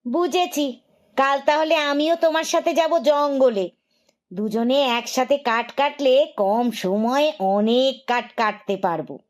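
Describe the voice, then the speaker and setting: Woman acting a high-voiced village housewife. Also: takes it slowly. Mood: caring. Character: poor village housewife, nagging but caring wife. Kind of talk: Bengali fairy tale cartoon dialogue.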